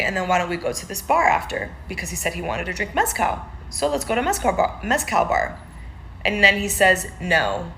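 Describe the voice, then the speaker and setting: Gravelly-voiced woman recounting a date. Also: on the low side, a bit bright, a little hiss or hum. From United States. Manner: recounting a date, quoting both sides. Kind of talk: storytelling clip.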